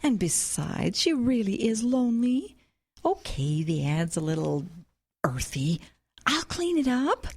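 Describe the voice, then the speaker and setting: A woman playing a playful, enthusiastic mother in an audio comedy, coaxing and theatrical, with a husky voice. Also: on the high side, sing-song, measured pace, darkish tone, full character voice. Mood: upbeat, persuasive. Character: playful, enthusiastic mother with big schemes, grown daughter. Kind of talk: audio comedy drama acting.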